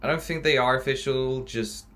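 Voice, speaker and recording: A man's voice: medium pitch, normal speed, fairly bright tone. A man hosting a reaction video, talking casually in a hesitant, rambling way about what he watches.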